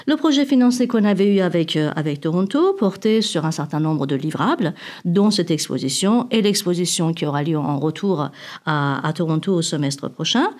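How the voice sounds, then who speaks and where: Hesitant academic woman, lowish voice. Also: lively intonation, moderate pace, darkish tone. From Iran. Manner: hesitant, many fillers and restarts. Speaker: university researcher. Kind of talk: academic interview answer.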